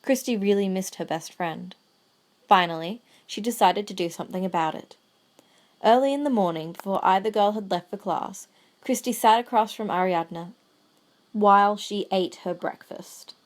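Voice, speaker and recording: A woman's voice: medium pitch, moderate pace. A woman reading scripted third-person narration for an amateur audio drama.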